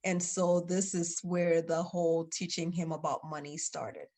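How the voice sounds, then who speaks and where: Guest, woman in her 30s from Liberia, low voice, rambling storyteller. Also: steady tone, a little slow, a little mellow. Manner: chatty, storytelling with many fillers. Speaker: guest, children's book author. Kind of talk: casual interview.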